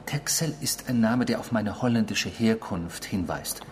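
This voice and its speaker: A man's voice: lowish voice, unhurried, a crowd in the background, natural voice. An actor in a German radio drama playing an intrusive, eerie man who philosophizes and confesses with pompous, formal politeness. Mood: insistent.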